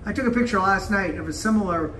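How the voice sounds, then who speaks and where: A man's voice: sits high, fast talker, roomy sound, far from the mic, background noise. A man hosting a casual local video tour, chatting with enthusiasm inside an art gallery. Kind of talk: casual chat.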